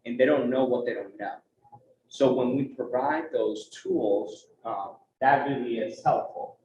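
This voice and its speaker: A man's voice: medium pitch, unhurried. A young adult man speaking earnestly on a conference panel, with a conversational style and frequent tag questions. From United States. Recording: roomy, dull, faint background noise.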